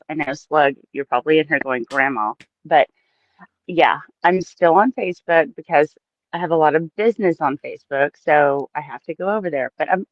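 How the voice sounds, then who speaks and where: A woman's voice: low voice, no echo, dull audio. A woman chatting casually as a livestream co-host, giggly and prone to repeating her phrases.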